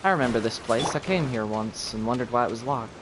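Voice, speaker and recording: A man's voice: medium pitch, fast talker, background noise. A man streaming a video game live, thinking aloud in offhand, casual commentary. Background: game music.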